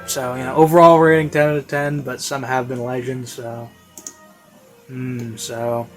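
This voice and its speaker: A man's voice: medium pitch, level tone, measured pace. Rambling man over classical music. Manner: rambling, informal, repeats phrases for emphasis. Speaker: video reviewer. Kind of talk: casual unscripted spoken review. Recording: classical music playing behind voice. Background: baroque classical music.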